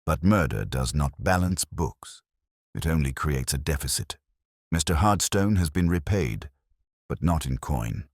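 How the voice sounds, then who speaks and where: A man acts out a dry, philosophical police inspector from a detective story. The voice is very deep and husky, with a lively rise and fall. He speaks in measured, gently ironic sayings. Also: no room sound, exaggerated voice. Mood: grave, assured.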